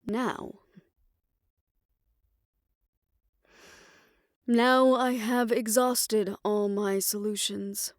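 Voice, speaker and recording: A woman plays an aging, proud female fixer who is worn down but fond of her lover. She speaks slowly and softly with wry understatement, slipping toward a whisper. The sound is dry and intimate, as in an audio roleplay drama. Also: medium pitch, no room sound, close to an everyday voice. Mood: frustrated, despondent.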